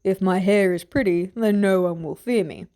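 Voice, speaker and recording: A gruff, fatherly fighting man of middle age, as a woman narrator plays him. He speaks in a rough, grumbling way with plain affection underneath, as in a fantasy story read aloud. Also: medium pitch, moderate pace, mellow, natural voice. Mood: gruff, fond. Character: gruff, tender middle-aged male warrior, fatherly guard.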